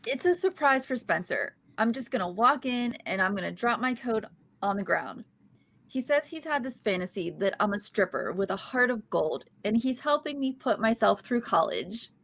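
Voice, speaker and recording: A woman's voice: fairly high pitch, animated, moderate pace, fairly bright tone, slight background noise. A woman reading an awkward, earnest young sitcom heroine at a comedy table read. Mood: awkward, eager. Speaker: guest reading the lead part at a table read.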